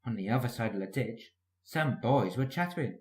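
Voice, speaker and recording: A man's voice: medium pitch, expressive, normal speed. Guy narrating a kids storybook, very up and down delivery, echoey room. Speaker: storybook reader. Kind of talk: animated scripted children's story reading.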